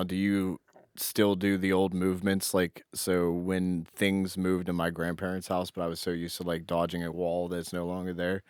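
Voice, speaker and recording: A man's voice: deep voice, clear, resonant tone, no echo, clean studio sound. A man co-hosting a podcast, explaining his thoughts informally in casual conversation. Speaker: podcast co-host.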